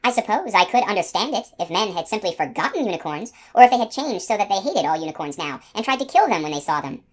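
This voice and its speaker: A regal old unicorn mare , as a woman reads her aloud, speaks in a raised, lifted voice. Her words come measured and dignified, full of wonder and pride. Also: mid-range voice, very animated, voice pushed up. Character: proud ancient female unicorn, wondering and regal. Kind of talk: storybook reading.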